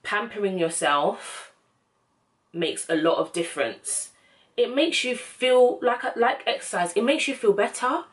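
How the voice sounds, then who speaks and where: A woman's voice: medium pitch, lively intonation, unhurried. A young woman gives casual, encouraging advice in a slangy, informal vlog style. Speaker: self-help vlogger.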